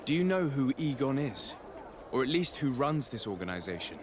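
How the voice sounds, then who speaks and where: A man's voice: mid-range voice, sing-song, background noise, natural voice. A man as a courteous, formal noble envoy. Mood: probing. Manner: formal, polite, measured. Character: courteous, composed male noble envoy investigating wrongdoing. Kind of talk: video game cutscene acting.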